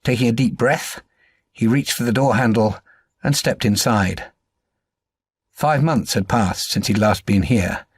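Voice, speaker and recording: A man reading a crime novel as an audiobook narrator, in a measured and articulate way. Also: medium pitch, husky, dry recording, clean studio sound.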